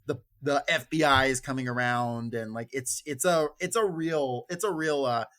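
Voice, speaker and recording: A man's voice: mid-range voice, normal speed, a bit bright. A man hosting a podcast, discussing books in a casual, conversational way. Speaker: podcast host.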